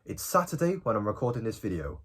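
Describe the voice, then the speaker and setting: A young man of eighteen talks straight to his viewers, his pitch bouncing up and down as he goes. His voice has a husky edge, and he keeps dropping in "bro" and "okay?". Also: fast talker. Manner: animated, informal, often tagging lines with "bro" and "okay?". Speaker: self-improvement vlogger. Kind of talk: casual direct-to-camera vlog.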